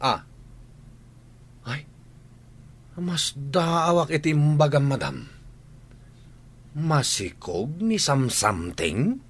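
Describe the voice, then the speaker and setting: Husky, jumpy male servant, wildly animated. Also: fairly high pitch, very slow, pauses often, heavily acted. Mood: flustered. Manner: stammering, evasive, exclaiming. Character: nervous, gossipy comic male household servant. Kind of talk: Ilocano comedy radio drama acting.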